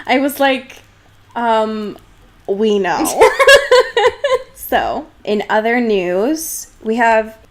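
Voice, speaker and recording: Animated, high-voiced young woman bantering. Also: very slow, long pauses, a little hiss or hum. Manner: irreverent, profane, slangy. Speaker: podcast co-host. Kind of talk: casual podcast banter.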